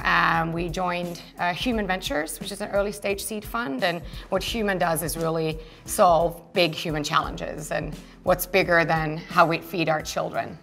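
A fairly low-voiced woman originally from Finland speaks earnestly and fluently, explaining her company in an interview. She sits in an open-plan office, miked close with a lapel mic, and soft music sits faintly underneath her. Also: creaky. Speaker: co-founder and guest. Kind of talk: business interview conversation.

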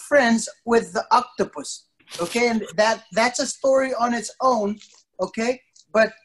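A middle-aged man telling a playful story to children as their instructor, theatrical, with drawn-out emphasis and pauses. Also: extremely high pitch, fairly bright tone, slight background noise, poor audio. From an island country. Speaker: martial arts instructor telling stories to children. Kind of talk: playful interactive storytelling for kids. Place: indoor wood-panelled studio, on a video call.

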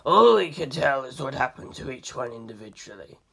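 A reader voicing a grave, matter-of-fact male investigator in a flat, deliberate manner for a story. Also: mid-range voice, gravelly, slightly rough, pitched below the performer's own voice, full character voice.

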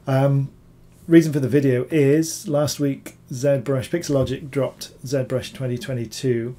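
A man, hoarse from recovering from illness, talking through a screen-recorded software tutorial, rambling with many ums and repeated words. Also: mid-range voice, steady tone, measured pace, slight background noise. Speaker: bas-relief sculptor reviewing software.

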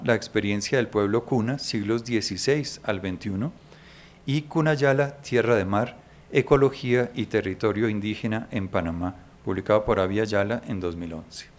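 A man in his fifties reading out a formal speaker introduction in a measured way at an auditorium lectern. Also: medium pitch. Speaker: event presenter introducing a speaker. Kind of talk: formal introduction read from paper. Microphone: lectern microphone.

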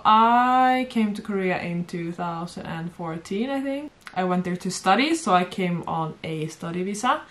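A young woman from Sweden recounts her modeling years in relaxed, chatty speech. Her voice sits slightly low and often breaks into giggles. She talks on camera at home, seated beside a friend. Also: normal speed, few pauses. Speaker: former model, guest. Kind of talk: casual storytelling chat. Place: bright home room, seated on sofa.

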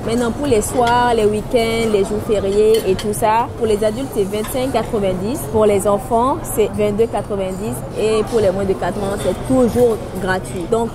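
A woman's voice: medium pitch, steady tone, normal speed, few pauses. A young woman talking casually to the camera as a vlogger, chatty and enthusiastic, recorded on a clip-on mic in a car and a busy restaurant. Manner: chatty, enthusiastic, lots of fillers. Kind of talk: casual vlog chat to camera. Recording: vlog audio with loud music and crowd behind. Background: loud music and crowd chatter.

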